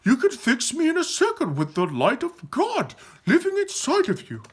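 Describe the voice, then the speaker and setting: Man voicing an excitable high-pitched furry creature. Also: normal speed, exaggerated voice. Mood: awed. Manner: gushing, dramatic. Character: excitable, reverent young male furry creature, chief's son.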